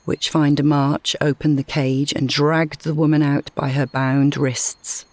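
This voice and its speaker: A woman reading a scripted short story as narrator, in a measured, dramatic storytelling manner. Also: low-pitched, flat delivery, darkish tone, no room sound.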